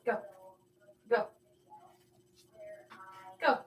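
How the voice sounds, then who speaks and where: A woman's voice: extremely slow, muffled recording, slight background noise. Rambling young woman chatting on stream. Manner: rambling, trails off searching for words. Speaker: streamer reading chat. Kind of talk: casual livestream chat.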